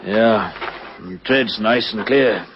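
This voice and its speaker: An actor playing an experienced police sergeant in a radio drama, measured, matter-of-fact and authoritative. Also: male voice, fairly low pitch. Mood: brisk, cautious. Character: experienced, wary male police patrol sergeant. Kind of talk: vintage radio drama acting. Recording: dull old radio recording, faint noise.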